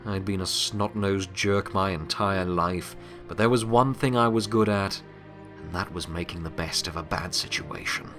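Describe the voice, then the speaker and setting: A man acts out a pony who has become Death, weary and wry in an audio drama. His voice sits low and he confides haltingly, full of reflection and remorse. Music plays clearly behind him. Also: natural voice. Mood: reflective, confessional. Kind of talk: dramatic audio fiction monologue.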